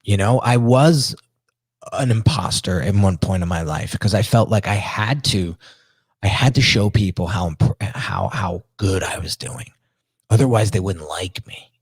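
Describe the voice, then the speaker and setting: A middle-aged man with a strong New Jersey accent talks in a deep, low register, hosting a podcast conversation. He tells stories with lively energy, doubling words and restarting sentences. The sound is close and dry, with no room around it. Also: measured pace, husky, no room sound. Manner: animated storyteller, repeats words, many restarts. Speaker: podcast host. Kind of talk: casual interview chat.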